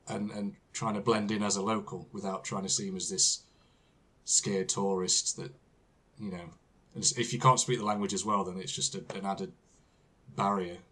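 A man's voice: fairly low pitch, a bit bright, slightly rough. A British man hosting a casual podcast interview, hesitant, often repeating words and laughing. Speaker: podcast host.

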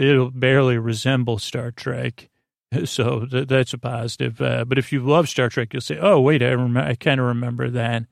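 Creaky-voiced American man, meandering and soothing. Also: mid-range voice, no echo, clean studio sound. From United States. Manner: meandering, halting, trailing into tangents and repetitions. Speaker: podcast host. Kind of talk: rambling bedtime monologue to lull listeners to sleep.

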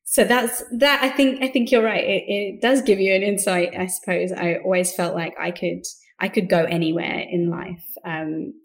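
A woman's voice: on the low side, steady tone, normal speed, few pauses. A woman in her thirties from London with a mixed London and Australian accent, chatting as an interview guest with frequent fillers and restarts. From London, England. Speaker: podcast guest, business co-founder. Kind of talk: casual interview conversation.

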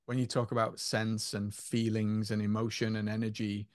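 A man's voice: fairly low pitch, level tone, normal speed, no echo, clean studio sound. A man co-hosting a podcast, talking in an earnest, musing way about spirituality and feelings. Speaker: podcast co-host. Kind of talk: casual spiritual chat.

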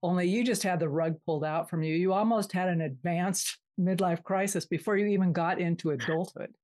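A woman's voice: lowish voice, a little fast, a little mellow. Empathetic woman interviewer. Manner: empathetic, reflective. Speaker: interviewer, host. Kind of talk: casual conversational interviewing.

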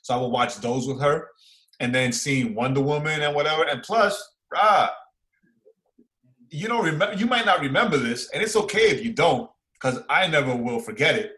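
A man, chatting casually and excitably as a podcast co-host, using slang and repeating phrases for emphasis. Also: a little high, normal speed. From Far Rockaway, New York, United States. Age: 40s. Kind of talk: casual fan chat, reviewing and reacting.

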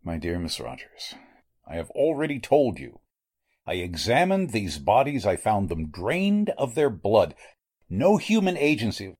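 An actor playing a sinister, courteous elderly doctor in a 1940s-style radio mystery, speaking formally and deliberately with a husky voice. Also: male voice, on the low side, huge pitch swings, a little mellow, exaggerated voice. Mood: ominous, insistent. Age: older. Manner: formal, deliberate, menacingly polite.

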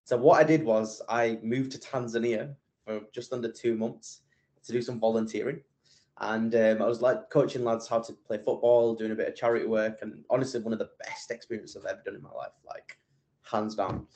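A man's voice: on the low side, steady tone, fairly quick, darkish tone. A man, a podcast guest chatting casually and rambling with plenty of fillers and restarts. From England. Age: 20s. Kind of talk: casual interview chat.

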